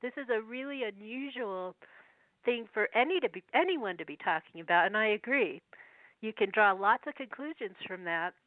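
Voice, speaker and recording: A woman with a cold, her voice going, speaking as a guest over the phone and reading old verses slowly between chatty asides. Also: fairly high pitch, lively intonation, dry recording. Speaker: guest researcher. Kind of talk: phone interview, reading prophecy verses aloud. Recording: tinny phone line.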